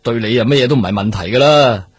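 A man acting in a Cantonese radio drama as an exasperated, grumbling middle-aged police superior, with a husky voice and Hong Kong accent. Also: medium pitch, quick pace.

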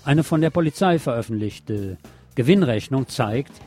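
A man's voice: medium pitch, expressive, normal speed, dark tone, soft background music. A man presenting scripted radio news with a wry, colloquial touch. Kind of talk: scripted news magazine narration.

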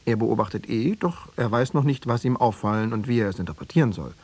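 A man's voice: lowish voice, animated, fast talker, darkish tone, slightly rough. An actor playing a cool, pedantic male East secret police analyst, lecturing in in a self-assured way. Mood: self-satisfied analysis. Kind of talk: radio play dialogue.

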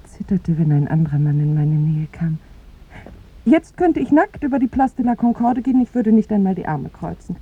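A husky young woman pours out a melancholy love confession, intense and theatrical, dropping into breathy hush. The sound is a thin, phone-like old radio play recording. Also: fairly low pitch. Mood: confessional, intense. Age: young adult. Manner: theatrical, intense, breathy hushed phrase endings. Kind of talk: 1950s German radio drama acting.

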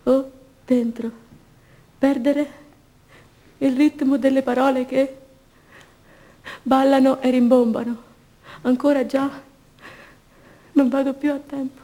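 High-voiced, emotionally raw young mother. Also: flat delivery, slow, pauses often, mellow. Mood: fearful, weary. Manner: theatrical, repetitive, emotionally raw delivery. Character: anguished young imprisoned mother, defiant, tender, fearful. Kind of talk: radio theatre monologue.

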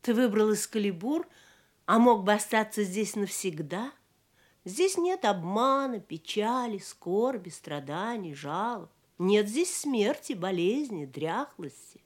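Fairy queen, enchanting and wistful, very animated pitch, theatrical, audio drama. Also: slow. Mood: wistful, tempting. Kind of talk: Soviet audio play acting.

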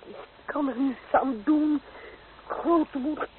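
A woman's voice: high-pitched, takes it slowly. An actress in a radio drama playing a timid, anguished middle-aged mother, pleading and flustered. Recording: tinny, noisy old radio recording.

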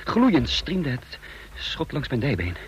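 A man's voice: mid-range voice, huge pitch swings, slow, highs cut off, slight background noise. An actor playing a tense, wounded secret agent who narrates his own mission in a radio drama. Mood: tense, dramatic. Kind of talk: radio drama acting and first-person narration.

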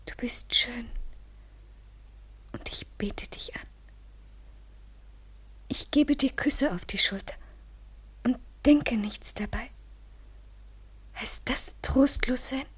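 An actress in a literary German radio play playing a tender, devoted woman in love, fragile and imploring, often breathy and near a whisper. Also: on the high side, takes it slowly, pauses often, heavily acted. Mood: adoring, whispered.

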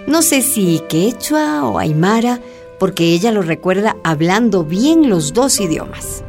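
A woman's voice: mid-range voice, sing-song, measured pace, a little mellow, soft background music. A woman playing a courteous, inquisitive journalist who narrates a radio drama in a polite storytelling manner. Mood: narrating.

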